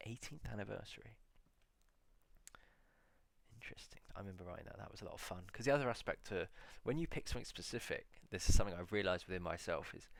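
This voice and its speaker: Gravelly low-voiced man musing aloud. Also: a bit bright, dry recording. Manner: musing aloud, reading titles slowly with many pauses. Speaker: video blogger. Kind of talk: casual solo reflection.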